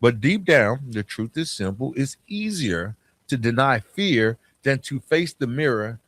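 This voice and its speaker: A man with a mid-pitched voice hosts a motivational podcast. He talks in a conversational, reflective way and leans on key phrases for emphasis, checking in with the listener. The sound is close and dry, with very little room around it. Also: animated, a little slow, fairly bright tone, no echo. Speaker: podcast host.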